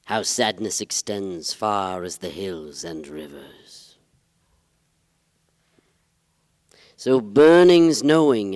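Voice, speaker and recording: An actor playing a pompous, wistful poetry-reading emcee, speaking in a solemn, florid way on a theatre stage. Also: male voice, on the low side, a little mellow, smooth. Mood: solemn, mournful. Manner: pompous, ponderous. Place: theatre stage, live performance. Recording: reverberant live theatre hall.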